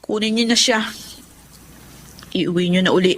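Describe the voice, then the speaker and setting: A Filipino actress playing a resentful, sharp-tongued eldest daughter in a radio drama, speaking in an accusing, exasperated way. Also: female voice, on the low side, normal speed, a little hiss or hum, close to an everyday voice. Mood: curt. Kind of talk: Tagalog radio drama acting. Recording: studio drama with music underneath.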